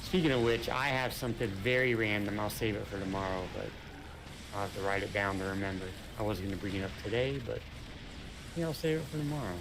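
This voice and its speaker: A man's voice: lowish voice, fast talker, background noise. A man co-hosting a gaming video in casual chat, rambling as he thinks aloud and repeats his phrases.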